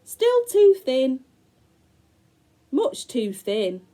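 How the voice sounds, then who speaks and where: A woman's voice: high voice, huge pitch swings, very slow, pitched above the performer's own voice, full character voice. A woman putting on a bossy wicked old witch. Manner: bossy, shouting orders. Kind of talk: storybook read-aloud for children.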